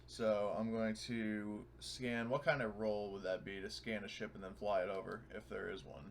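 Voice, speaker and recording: Gravelly, low-voiced man over background noise. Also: fairly even intonation, moderate pace. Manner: hesitant, thinking aloud. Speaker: tabletop game player. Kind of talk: casual game-table chat.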